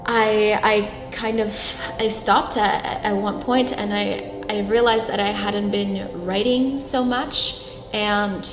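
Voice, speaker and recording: Young brazil-born woman, portuguese her first language, earnest halting interview talk, lots of restarts, roomy echo, music going underneath. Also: mid-range voice. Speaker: guest.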